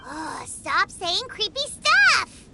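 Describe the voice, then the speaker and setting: A woman voicing a tiny childlike fairy companion in an anime game, squeaky, blunt and whiny. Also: lively intonation, slow, heavy background noise, heavily acted. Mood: indignant.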